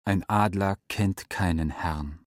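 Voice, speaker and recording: A proud eagle speaks in a low voice, haughty and defiant. The sound is very dry, as in an intimate children's radio play, and each phrase is measured and scornful of being tamed. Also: male voice, no echo. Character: proud, defiant male eagle that talks in a dream.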